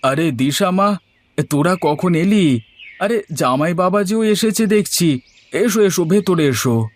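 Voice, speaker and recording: A man's voice: sits high, animated, measured pace. A man voicing an older village elder in a cartoon, hearty and avuncular, with hesitant fillers. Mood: delighted. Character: older village father or uncle, hearty, fussing. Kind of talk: Bengali cartoon voice acting.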